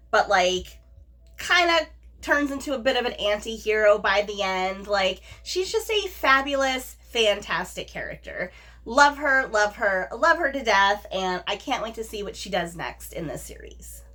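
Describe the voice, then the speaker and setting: A woman's voice: fairly high pitch, sharp tone. A woman talking casually as a vlog host, in an effusive, emphatic, informal way with slangy asides. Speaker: book review vlogger, host. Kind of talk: casual book chat to camera.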